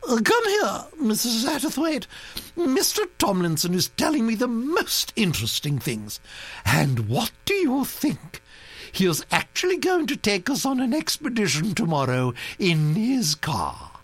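Imperious elderly duchess played by an audiobook reader; high, sweeping, slow and dry. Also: huge pitch swings, slightly rough, no echo, heavily acted. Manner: commanding, theatrical. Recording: dry recording with mains hum.

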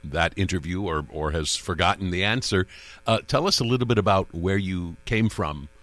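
A man hosting a radio interview, asking easygoing, somewhat halting questions. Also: sits low, a bit bright, no echo. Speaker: radio host.

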